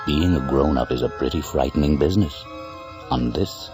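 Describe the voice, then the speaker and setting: A man acting in a radio drama as an ominous storyteller, musing and deliberate as he addresses a child. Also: extremely low pitch, music in the background.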